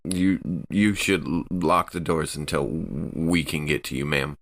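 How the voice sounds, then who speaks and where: A man acts out a crewman in a sci-fi tabletop game. The voice is deep and the delivery halting, urgent and a little bewildered, with phrases repeated. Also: steady tone, fairly bright tone, full character voice. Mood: wary, halting.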